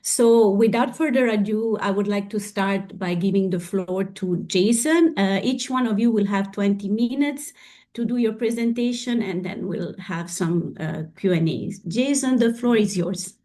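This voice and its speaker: Halting woman moderating a webinar. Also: mid-range voice, warm tone. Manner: hesitant, many filler pauses. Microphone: video call.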